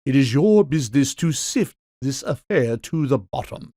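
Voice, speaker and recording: A man's voice: mid-range voice, animated, moderate pace, mellow, no echo, studio quality, close to an everyday voice. An actor playing a pompous king who is a living kitchen cleaver, speaking in declamatory rebukes in a full-cast audiobook. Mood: pompous, hesitant.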